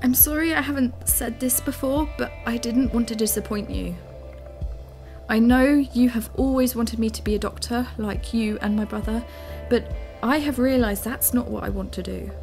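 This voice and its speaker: An anxious but determined young daughter, played by a woman in a space audio drama. Her voice sits fairly high, and music plays behind her. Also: normal speed, creaky, darkish tone. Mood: earnest, excited.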